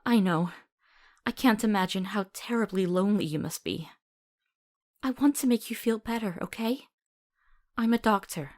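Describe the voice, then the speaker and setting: Woman playing a gentle, soothing young doctor. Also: mid-range voice, normal speed, pauses often, close to an everyday voice. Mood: tender, soothing. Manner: tender, soothing, coaxing. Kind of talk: visual novel voice acting, storytelling tone.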